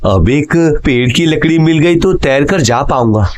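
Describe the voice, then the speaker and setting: A poor young man in a Hindi cartoon who frets aloud and gasps in fright, voiced by a man. His voice sits a little high. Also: expressive, measured pace. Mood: anxious. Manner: frightened exclamations and muttering to himself. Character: poor young man Sattu, anxious and devoted to his mother. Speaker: narrator and voice actor.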